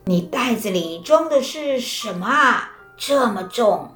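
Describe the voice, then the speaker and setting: A woman's voice: a little high, lively intonation, takes it slowly, a bit bright. Woman doing a cheerful, proud old man. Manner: proud, easygoing, chuckling. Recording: roomy, with faint music.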